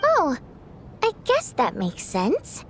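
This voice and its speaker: A woman voicing a playful female water imp stage performer in a game, lively and teasing. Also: high-pitched, slight background noise, exaggerated voice. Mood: curious. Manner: lively, teasing, quick to protest. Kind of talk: video game voice acting.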